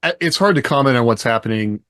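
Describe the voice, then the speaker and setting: A man's voice: medium pitch, expressive, fast talker, a bit bright, dry recording, clean studio sound. A man co-hosting a podcast in casual panel discussion, restarting his sentences to qualify his points. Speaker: podcast co-host.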